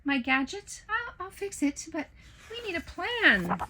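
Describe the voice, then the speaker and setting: Woman voicing bumbling storybook professor, chirpy pitch, high and bright. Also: wildly swooping pitch, a little slow, background noise, heavily acted. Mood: flustered. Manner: chirpy salesy patter. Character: cheerful, bumbling female food professor. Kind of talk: storybook character voice.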